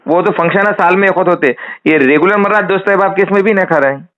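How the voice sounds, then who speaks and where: A man's voice: sits high, fast. A man with a Hyderabadi accent on a recorded phone call, informally putting a question to a cleric and explaining it insistently, with repetition.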